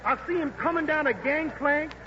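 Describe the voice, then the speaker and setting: A man's voice: very high voice, moderate pace, noisy background. A man playing a brash, boastful boxing manager in a 1940s radio drama. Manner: boastful, showy. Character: brash fast-talking male boxing manager. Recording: dull 1940s radio broadcast with music.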